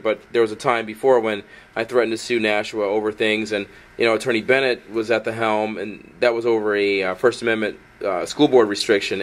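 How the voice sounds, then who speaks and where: A man speaking as an outspoken activist journalist, wordy, insistent and argumentative. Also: fairly low pitch. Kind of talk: outspoken video commentary and confrontational phone call.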